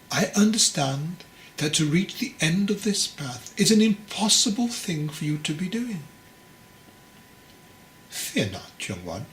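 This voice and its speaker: A man voicing a stern, patient old monk who teaches in a deliberate, commanding, admonishing way. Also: fairly high pitch, voice pushed up. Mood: calm, firm. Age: older. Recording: roomy echo, clear background noise.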